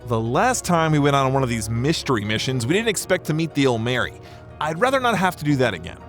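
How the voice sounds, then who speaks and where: A man's voice: medium pitch, sing-song, fast, a bit bright. Man playing starship doctor, friendly, very varied intonation, sci-fi radio play, music underneath. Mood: uneasy. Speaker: actor. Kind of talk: sci-fi audio drama acting.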